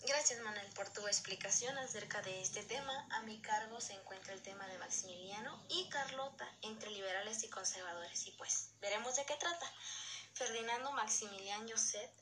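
A woman's voice: mid-range voice, normal speed, bright, forceful tone, slight background noise. A woman presenting in a formal way, reading scripted educational narration aloud.

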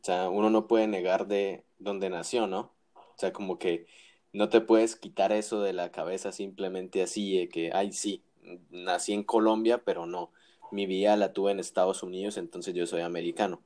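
A man's voice: sits low, flat delivery, normal speed, a little mellow. A man co-hosting a casual wrestling chat, giving opinions with frequent restarts and tag questions. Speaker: wrestling podcast co-host.

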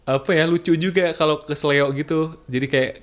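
A man's voice: fairly high pitch, steady tone, normal speed. A man, chatting casually, slipping English phrases into Jakarta slang. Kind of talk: casual chat.

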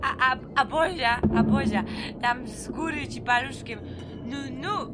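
A woman's voice: high-pitched, a little slow, very noisy. An actress playing a bitter, drunken woman fresh out of prison, rambling and swearing in slangy speech in an audio drama. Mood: defiant, angry. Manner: rambling, vulgar, slurred drunken repetitions. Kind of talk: Polish audio drama, slangy profane monologue. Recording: music under the voice. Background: music.

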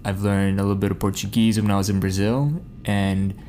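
A man from Kazakhstan whose first language is Russian, a guest on a podcast, speaking in a relaxed, reflective way. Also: lowish voice, fairly quick, a little hiss or hum. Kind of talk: casual podcast chat.

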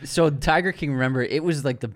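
A man's voice: on the high side, quick pace, no room sound. Easygoing man, close and dry sound. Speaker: podcast co-host. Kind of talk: casual chat about movie news.

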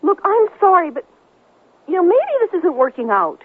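An actress playing an earnest, soft-hearted young working woman in a radio drama, with a husky, plain-spoken delivery. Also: extremely high pitch, very animated, moderate pace. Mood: unhappy, apologetic. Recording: thin, muffled 1970s radio drama recording.

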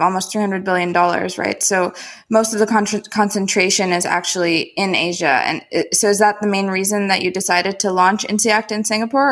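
A woman's voice: mid-range voice, fairly bright tone. A woman hosting a podcast, reading an upbeat introduction and asking interview questions with enthusiasm. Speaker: podcast host.

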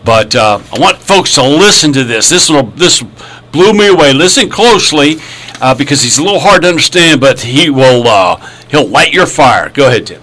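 A man's voice: mid-range voice, lively intonation, moderate pace, pushed and bright. A man hosting a casual podcast chat, rambling and joking with lots of fillers. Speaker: podcast host.

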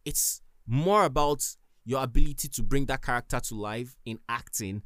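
A man's voice: mid-range voice, moderate pace, fairly bright tone, no room sound, studio quality. A man with a Nigerian accent, hosting a solo podcast and giving earnest, emphatic advice. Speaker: podcast host.

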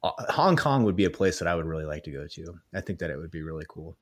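A man's voice: sits low, expressive, quick pace. A young man interviewing a colleague in a casual, rambling way, full of fillers and restarts.

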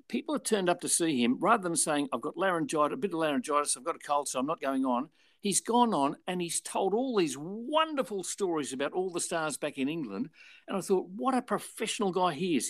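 Older aussie guy, ex radio host, tells stories, slightly high voice, dry close sound. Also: brisk, dry recording. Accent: Australian. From Australia. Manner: chatty, animated retelling with voiced quotes. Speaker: retired radio and television presenter. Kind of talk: anecdotal interview storytelling.

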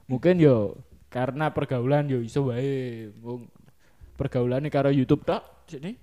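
A man with a Javanese accent, talking in a casual, joking and animated way as a discussion host. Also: medium pitch, a little slow. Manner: animated, joking, mimics others in put-on voices. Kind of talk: casual chat mixing regional speech and Indonesian.

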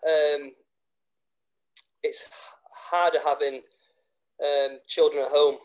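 A man's voice: a little high, level tone, takes it slowly, poor audio. A man in his thirties, a teacher with a cold and a sore throat, answering interview questions hesitantly with many restarts. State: congested with a cold.

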